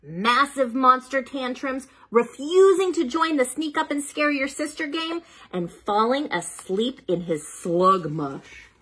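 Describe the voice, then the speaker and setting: A woman reading picture books aloud to young children, playful and dramatic, pausing for chatty asides and questions to the listener. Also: high voice, lively intonation, fairly bright tone, husky.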